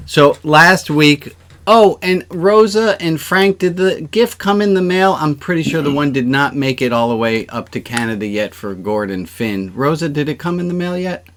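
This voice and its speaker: A man's voice: fairly high pitch, lively intonation, normal speed, a bit bright. A man speaking as a rabbi at an informal online service, conversational and often putting questions to the group. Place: online video-call gathering.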